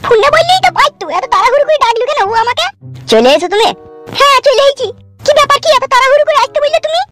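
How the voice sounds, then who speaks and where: A woman's voice: extremely high pitch, sing-song, racing, over music, voice pushed up. Woman voicing an impatient young village wife. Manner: pestering, insistent questioning.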